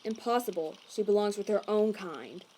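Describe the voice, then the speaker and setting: A woman's voice: mid-range voice, normal speed, darkish tone, natural voice, poor audio. Woman playing a cold, haughty official. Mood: stern. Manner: stiff, officious, condescending. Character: cold, haughty, prejudiced male council envoy. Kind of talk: animated comic dub voice acting.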